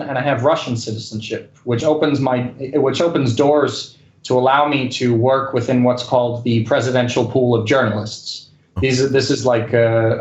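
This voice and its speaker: A man speaking casually as an interview guest, with a hesitant delivery full of fillers. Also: medium pitch. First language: English and Spanish. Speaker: guest, correspondent and news anchor. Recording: dull lo-fi roomy sound.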